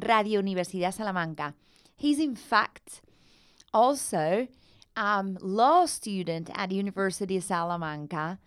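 A woman's voice: medium pitch, smooth, dry recording, studio quality. A woman with a tongue hosting a radio interview, enthusiastic and effusive, sometimes searching for words in her questions. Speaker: radio host.

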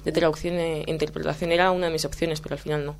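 Young female radio host, low-pitched, casual and quick. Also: level tone, gravelly, a little hiss or hum. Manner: laughing, quick asides. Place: radio studio.

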